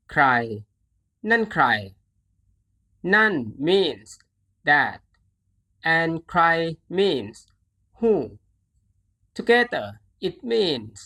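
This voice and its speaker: Language teacher, very slow pace, lively varied pitch, deliberate enunciation, scripted lesson delivery. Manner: deliberate enunciation, repeating phrases syllable by syllable.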